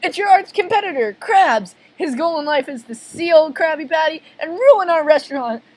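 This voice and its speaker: Overearnest boyish fry cook for a cartoon a woman acts him: high, panicky, wailing, insistent repetition. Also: animated, normal speed, darkish tone, no echo. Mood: alarmed.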